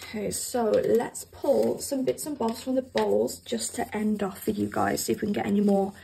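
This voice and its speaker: A woman with a croaky voice left over from a cold, chatting to viewers as she gives a pick-a-card tarot reading at a table. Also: medium pitch, steady tone, normal speed, warm tone, slight background noise. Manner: chatty, addresses viewers directly. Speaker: tarot reader. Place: indoors at a table with cards and candles.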